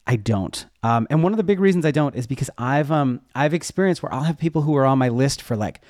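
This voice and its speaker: A man's voice: mid-range voice, lively intonation, fast talker, darkish tone, no echo, studio quality. A man talking casually as a podcast guest, candid and conversational as he explains things from his own experience. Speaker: podcast guest, web development educator. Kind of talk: casual interview talk.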